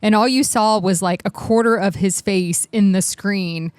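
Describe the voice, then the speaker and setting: A bubbly, chatty woman co-hosts a casual travel podcast from a home theater room. She laughs often and tells stories quickly. Her mid-pitched voice is recorded close and dry. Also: a little mellow, smooth, no room sound. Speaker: podcast co-host.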